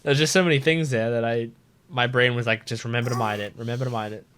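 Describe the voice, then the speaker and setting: A man streaming a video game, reacting in a casual, animated, informal way with some swearing. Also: mid-range voice, fast talker, smooth. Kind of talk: casual gameplay commentary.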